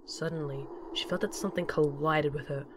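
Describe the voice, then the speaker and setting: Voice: medium pitch, steady tone, brisk, noisy background. A narrator reading a scripted story for a fan audio drama.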